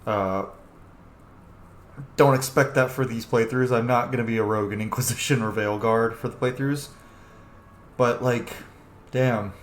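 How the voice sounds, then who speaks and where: A man's voice: medium pitch, fairly even intonation, normal speed, pauses often, reverberant, slight background noise. A man hosting a game playthrough, commenting casually in an opinionated, informal way with plenty of swearing. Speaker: gaming video host, player.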